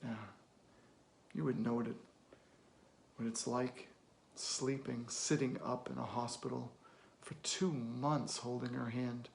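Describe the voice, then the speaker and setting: A man performing a dramatic film monologue as a weary, blunt middle-aged therapist, deliberate, with weighty pauses and emphatic bursts. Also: mid-range voice, vocal fry, a little hiss or hum, natural voice. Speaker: actor.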